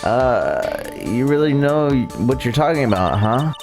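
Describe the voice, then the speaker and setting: A man's voice: mid-range voice, sing-song, a little slow, gravelly, in a large hall, voice pushed up. A man voicing a flustered, unsure defense lawyer in a video game, hesitant and sheepish. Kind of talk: voiced video game playthrough. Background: video game music.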